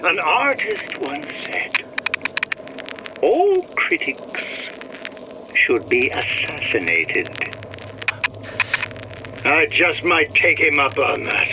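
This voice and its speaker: An actor playing a pompous, embittered male artist and impresario with grandiose, drawn-out enunciation, in a game audio diary. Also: fairly high pitch, wildly swooping pitch, background noise, exaggerated voice. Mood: indignant, theatrical.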